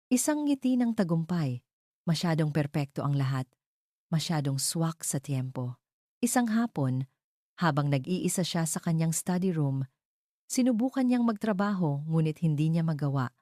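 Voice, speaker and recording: A woman with a Filipino accent narrating a scripted love story in a solemn, dramatic way with deliberate pauses. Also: sits low, warm tone, no room sound, studio quality.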